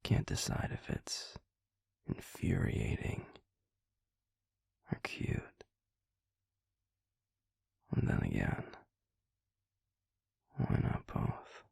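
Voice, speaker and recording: A man playing a gruff, sardonic werewolf boyfriend in an intimate audio roleplay, half-whispering in a teasing, grudgingly fond drawl. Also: a little mellow, no echo. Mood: fond exasperation. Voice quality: very deep, gravelly, breathy. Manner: slow teasing drawl with heavy sighs, dry sarcasm.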